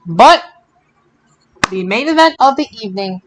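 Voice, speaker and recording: A podcast host recapping wrestling results casually, in a halting way that often repeats phrases. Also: mid-range voice, animated, a bit bright. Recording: noisy amateur podcast audio.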